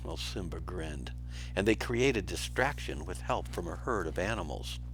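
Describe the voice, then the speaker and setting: A man's voice: sits low, expressive, normal speed, a bit bright, soft background music, rough recording. A man reading a children's storybook aloud as a teacher, narrating expressively with brief asides.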